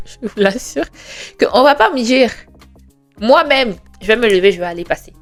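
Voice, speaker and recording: A woman's voice: on the high side, animated, measured pace. Earnest young woman musing aloud. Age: 20s. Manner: earnest, conversational, hesitant with frequent fillers; rhetorical questions, emphatic reassurance. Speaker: podcast host. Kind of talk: reflective monologue. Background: faint background music.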